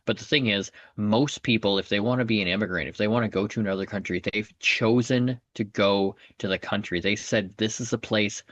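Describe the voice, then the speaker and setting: A man's voice: lowish voice, a little fast, few pauses, a bit bright, no room sound. An American man talking earnestly in a casual podcast conversation, often repeating and rephrasing his points. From United States. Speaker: podcast guest, comedian. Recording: dull, dry lo-fi audio.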